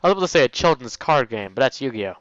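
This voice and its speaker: A man's voice: medium pitch, animated, racing, fairly bright tone, no echo. A young man reading a visual novel aloud as a let's play host, playfully commenting and stumbling over lines. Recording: dull, lo-fi mic with music. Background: game music.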